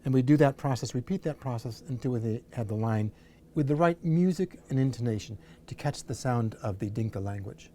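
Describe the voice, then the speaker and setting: A man explains his production in an unscripted interview. His voice is mid-pitched and mellow, his delivery measured. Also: a little hiss or hum. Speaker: audiobook producer and director. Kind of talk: unscripted behind-the-scenes interview.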